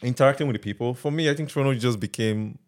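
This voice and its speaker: A man's voice: medium pitch, rapid-fire. A man with a Nigerian accent, a podcast co-host chatting casually and often checking in with "right?". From Nigeria (Delta). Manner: tags remarks with "right?", easygoing. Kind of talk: casual chat.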